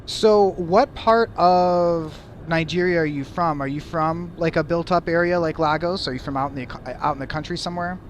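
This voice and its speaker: A young American man with a slightly high voice hosts a laid-back chat, asking questions and musing aloud. A faint noise sits underneath. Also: moderate pace, few pauses. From United States. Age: young adult. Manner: curious, thinking aloud with many "like"s. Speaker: host. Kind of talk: casual podcast chat.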